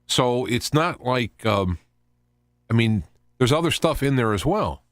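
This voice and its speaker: A man hosting an informal live radio show, explaining things in a rambling, halting monologue with repeated word starts. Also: mid-range voice, expressive, no echo. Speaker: radio host.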